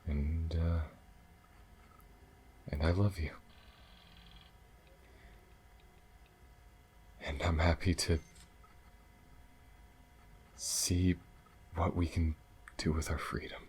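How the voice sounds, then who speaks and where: A man playing a gentle, battle-weary alien lover in an intimate ASMR roleplay, speaking softly and haltingly with a husky, breathy voice. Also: very deep voice, little pitch movement, slight background noise. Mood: relieved, tender. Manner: soft, halting, hesitant, sighing delivery.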